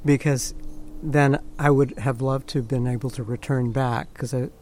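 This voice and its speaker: A man in his sixties from Peru, recalling his life in an oral history interview at home, halting and reflective with many fillers. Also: medium pitch, steady tone, darkish tone, slight background noise. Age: 60s. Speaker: interviewee, artist.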